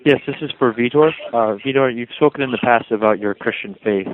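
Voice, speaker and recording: A male reporter asking a fighter a question on a conference call in a measured, polite way. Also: mid-range voice. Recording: phone line with crowd noise behind.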